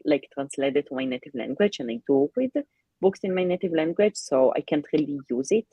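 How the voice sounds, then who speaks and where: A woman who is not a native English speaker talks over a video call, her voice husky and her words halting, full of fillers and restarts. The sound is dry and close, with no room around it. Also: low voice, lively intonation, warm tone, no echo, highs cut off. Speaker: co-host of a live reading stream. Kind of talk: casual chat.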